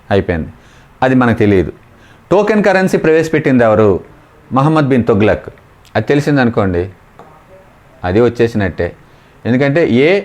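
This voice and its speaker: A man's voice: medium pitch, a little mellow. A man with a Telugu accent working through exam questions as a coaching teacher, explaining informally and repeating key terms.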